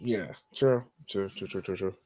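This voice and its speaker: A man's voice: deep voice, a little slow, a little mellow, dry recording. Casual male podcast host, dry close sound. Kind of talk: casual sports chat.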